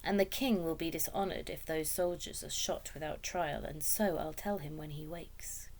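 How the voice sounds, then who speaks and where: A woman's voice: low voice, steady tone, normal speed, darkish tone, a little hiss or hum. A woman voicing a kind, clever noblewoman in a storybook, polite and careful, brave under nervous strain. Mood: firm, dignified.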